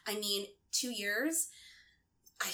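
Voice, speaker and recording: Supportive lady podcast host, chatty and casual, middle pitched voice, says like a lot. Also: expressive, slow pace, sharp tone. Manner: encouraging, supportive, heavy use of "like". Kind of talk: casual chat interview.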